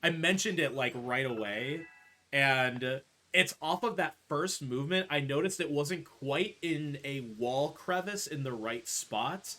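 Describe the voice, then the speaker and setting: A man with a crisp, bright and fairly high voice talks through his own speedrun while playing. His commentary is casual and technical, with music behind it. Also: measured pace. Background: video game music.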